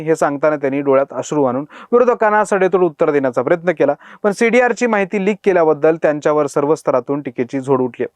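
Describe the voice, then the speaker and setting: Guy doing a news explainer, talks really quick and punchy, dry close sound. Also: fairly high pitch, no room sound. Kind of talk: scripted news explainer narration.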